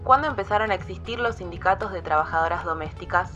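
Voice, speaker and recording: A woman's voice: on the low side, quick pace, highs cut off, music in the background. A woman presenter reading scripted questions and segment titles.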